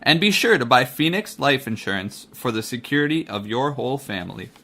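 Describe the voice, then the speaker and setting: An actor playing a formal announcer of a radio mystery show, reading a script. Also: male voice, mid-range voice, expressive, brisk, noisy background, close to an everyday voice.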